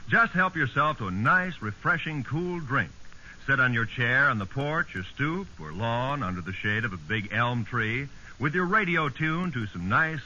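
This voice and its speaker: A man's voice: mid-range voice, bright, forceful tone. A man announcing on 1950s network radio with polished, folksy patter. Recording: dull old radio broadcast recording.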